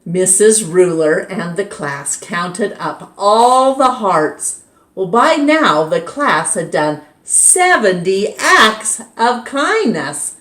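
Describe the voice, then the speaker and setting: Slow, expressive woman reading a storybook. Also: mid-range voice. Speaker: storytime reader. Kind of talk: reading a picture book aloud. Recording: roomy echo.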